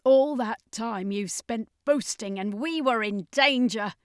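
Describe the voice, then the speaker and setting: A woman's voice: a little high, takes it slowly, no room sound, clean studio sound, pitched above the performer's own voice. A woman voicing a bitter alien refugee in a science fiction story, scornful and accusing. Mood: scornful anger.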